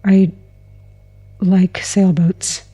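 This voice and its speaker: A woman playing a reserved, awkward enthusiast in an audio drama, deadpan and earnest in her delivery. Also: lowish voice, drawn-out words, husky, heavily acted. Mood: quietly earnest.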